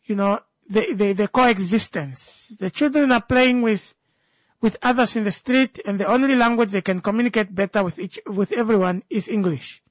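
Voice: medium pitch, moderate pace, no echo. A speaker with a Namibian accent who grew up speaking a local language, talking off the cuff in an emphatic way and repeating phrases for stress. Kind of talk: unscripted discussion.

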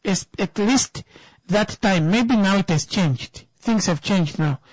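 A woman's voice: medium pitch, takes it slowly, a little mellow, breathy edge, dry recording. A woman, giving an emphatic opinion talk that turns into a personal story, repeating words for stress.

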